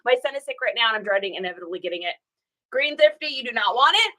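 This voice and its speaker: A woman's voice: fairly high pitch, lively intonation, fast talker, bright, forceful tone. A woman, hosting a casual livestream and greeting viewers by name, her voice still scratchy after a cold. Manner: chatty, greets viewers by name, laughs often. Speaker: reseller livestream host.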